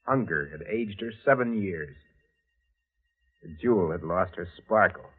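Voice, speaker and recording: A man's voice: low voice, lively intonation, a little slow, slightly rough, heavily acted. A man acting an obsessed, murderous narrator in a 1940s radio thriller, intense and dramatic. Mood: cold, menacing. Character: obsessed murderous man narrating his crimes, fanatical and menacing. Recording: muffled 1940s radio recording with loud music.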